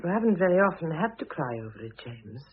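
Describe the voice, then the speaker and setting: Wry, low-voiced mother, muffled radio. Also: very animated, fast. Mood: dryly ironic. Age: middle-aged. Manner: dry, patient, gently ironic. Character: composed, wryly ironic middle-aged mother and ex-wife. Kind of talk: radio drama acting. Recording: muffled lo-fi old radio recording.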